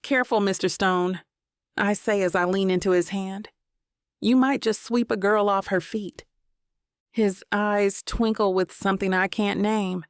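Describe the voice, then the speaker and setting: Woman doing romance audiobook narration, bit of fry in the voice, really dry close recording. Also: mid-range voice, fairly even intonation, a little slow, no room sound. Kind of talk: scripted first-person romance narration.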